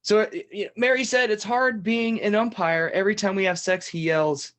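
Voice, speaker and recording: A man's voice: high voice, fairly bright tone. A man playing an upbeat, teasing parody game show host who stretches out questions with theatrical emphasis. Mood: showy, deliberate. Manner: repeats questions with drawn-out emphasis.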